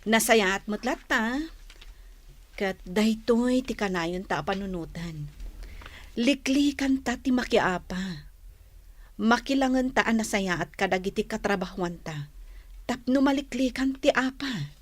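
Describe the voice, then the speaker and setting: A woman playing a gentle, patient working woman who avoids conflict, mild and agreeable, in a radio drama. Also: fairly high pitch, lively intonation, unhurried, long pauses, no echo. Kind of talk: Philippine radio drama acting.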